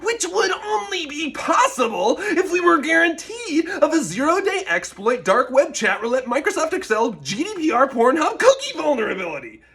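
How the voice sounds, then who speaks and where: An excitable action-movie hacker, played by a man, pitched high and swinging wildly. He fires off technical jargon with theatrical, emphatic urgency, as in a parody film. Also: moderate pace, hardly any pauses, full character voice. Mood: incredulous, frantic. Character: excitable jargon-spouting movie hacker, reluctant then eager.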